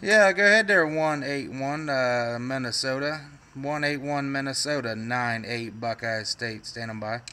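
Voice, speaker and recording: A man's voice: mid-range voice, fairly even intonation, measured pace. An American man working a CB radio, talking in a folksy way full of radio jargon as he makes on-air contacts. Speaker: CB radio operator. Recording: loud radio static and noise. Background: radio static and noise.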